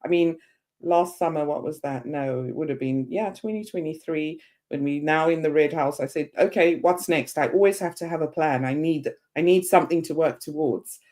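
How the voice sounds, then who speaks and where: A woman in her fifties from Zimbabwe speaks with a low voice as an interview guest. She tells her story with animation and slips into quoted voices of other people. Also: moderate pace, darkish tone. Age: 50s. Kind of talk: podcast interview.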